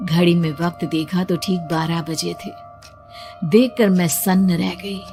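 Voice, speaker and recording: A woman's voice: lowish voice, steady tone, a little slow, warm tone. A woman narrating a scripted horror story in the first person, dramatic, suspenseful and emotive. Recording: music behind the voice. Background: music.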